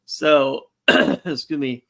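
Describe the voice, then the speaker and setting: A playful, teasing man with a higher voice. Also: steady tone, very slow. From New York. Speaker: co-host. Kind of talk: casual live show chat.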